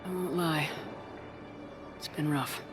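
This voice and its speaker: A woman's voice: sits low, slow. Resolute woman commander, in a reverberant space. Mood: sober. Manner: direct, steady, supportive. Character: composed, resolute female military commander. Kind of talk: video game voice acting. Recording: reverberant hall, music underneath.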